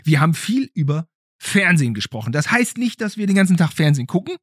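A man hosts a casual podcast. His voice has a slightly rough, husky grain and moves animatedly up and down in a close, dry sound. He talks playfully, with pauses and questioning asides. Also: a little high, sing-song, no echo. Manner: playful, hesitant phrasing with rhetorical tag questions. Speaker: podcast host. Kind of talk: casual conversational podcast interview.

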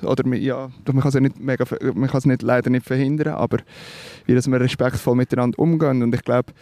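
A man's voice: medium pitch, level tone, fast, dark tone, no room sound. A man with a Swiss German accent, a musician being interviewed, talking thoughtfully and hesitantly with fillers and restarts. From Switzerland. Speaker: musician guest. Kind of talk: reflective interview talk.

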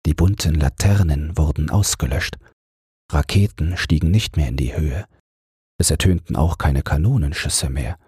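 A man narrates a fairy-tale audiobook meant for bedtime in a very low, heavily husky voice. He takes his time with an unhurried, soothing delivery. The sound is dry, with no room echo. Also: dark tone.

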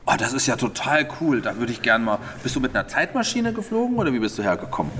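A man's voice: medium pitch, fast. A man playing a cheerful, curious wizard headmaster, chatting with children in an improvised audio play and encouraging them with questions. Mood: interested, encouraging. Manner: lively, encouraging questioning, often echoing answers. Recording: echoey hall, clearly noisy ambience.

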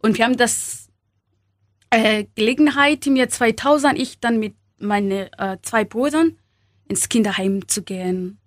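A young woman from Kenya speaking German as a second language, halting with many fillers and small grammar slips, as an interview guest. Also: on the high side, no room sound, clean studio sound. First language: not German. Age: young adult.

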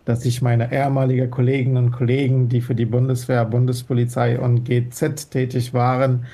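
Earnest man, gravelly low-key voice. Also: monotone, fairly quick, warm tone. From Afghanistan. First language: Dari. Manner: earnest, many hesitation fillers. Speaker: guest, former interpreter. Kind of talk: radio interview answers.

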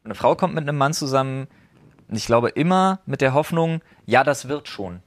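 A man's voice: medium pitch, expressive, moderate pace, no echo. A man on a podcast, opinionated and emphatic, arguing firmly for his own view. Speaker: podcast host. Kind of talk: casual chat.

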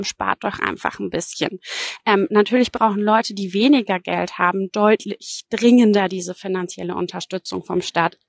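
Woman, journalist, from Germany, explanatory commentary, conversational, medium pitch, dry sound. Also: no room sound. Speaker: journalist giving analysis.

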